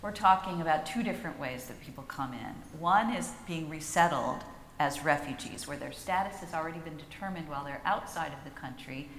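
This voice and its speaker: A woman speaking as a panelist, explaining policy in a measured way with frequent fillers. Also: low voice, a bit bright, slight background noise. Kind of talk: panel discussion. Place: large conference hall. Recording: roomy hall reverb, faint ambience.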